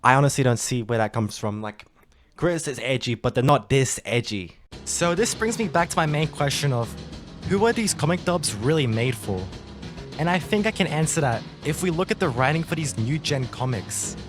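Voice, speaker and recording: A man's voice: medium pitch, expressive. Exasperated young man ranting over music. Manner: exasperated, melodramatic, drawn-out emphasis, slangy. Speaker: video commentator. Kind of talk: scripted YouTube commentary rant.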